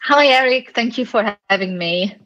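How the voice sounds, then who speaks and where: A woman's voice: fairly high pitch, lively intonation, moderate pace, fairly bright tone, muffled recording. A woman in her forties with an Italian accent, talking casually as a podcast guest, with many fillers and restarts. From Italy. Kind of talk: casual interview conversation.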